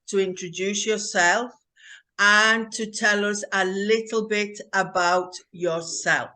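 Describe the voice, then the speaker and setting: A woman hosting an English-learning interview, asking slow, clear, teacherly questions over a video call. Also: mid-range voice, bright, forceful tone. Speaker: host.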